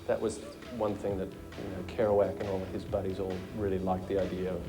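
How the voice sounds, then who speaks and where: Male musician interviewee, fairly low voice, conversational, music audible underneath. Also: little pitch movement, brisk. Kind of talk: informal documentary interview.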